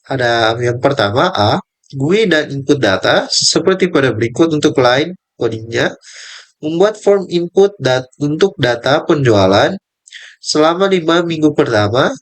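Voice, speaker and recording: A man's voice: fairly high pitch, measured pace. A young male student presenting his part of a group lesson, reading out steps and table values with small slips and restarts. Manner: reads numbers in steady lists, with small slips and restarts.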